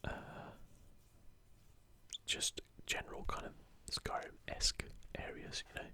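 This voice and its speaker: A video host speaking in a soft, unvoiced ASMR whisper, unhurried, hushed and informal. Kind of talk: whispered ASMR, leafing through and commenting on an art book.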